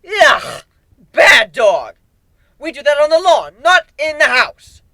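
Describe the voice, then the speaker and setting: A crazed young griffin fighter from a fantasy story, very high and bright. The delivery is sing-song baby talk that swoops wildly, gleeful and taunting. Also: male voice, big pitch swings, slow, no echo, exaggerated voice. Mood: mock scolding. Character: gleeful, childishly mad young griffin warrior taunting monsters. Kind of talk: dramatic fantasy story reading.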